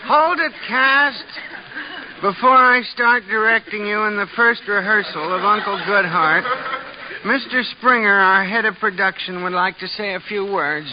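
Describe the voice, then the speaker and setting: Male exasperated, businesslike director, high voice, faintly noisy 1950s radio comedy. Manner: brisk, exasperated. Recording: dull, noisy old radio recording.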